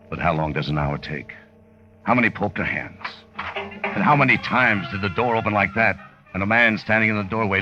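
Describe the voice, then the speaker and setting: An actor playing a cocky, suspicious American hitman on the run, in clipped, sardonic hard-boiled radio crime drama style. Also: sits low. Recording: muffled old radio recording with music.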